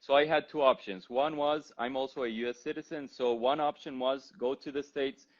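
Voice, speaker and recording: A man's voice: mid-range voice, fairly even intonation, normal speed. Costa Rican man on dull call audio. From Costa Rica. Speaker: interview guest, physician. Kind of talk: conversational interview answers.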